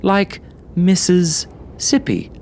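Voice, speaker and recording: A playful mother with menace under it, acted by a male narrator, in a horror tale. The voice is pitched up and draws words out emphatically, over faint noise. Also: huge pitch swings, takes it slowly, vocal fry, a little mellow, exaggerated voice. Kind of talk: horror story character voice.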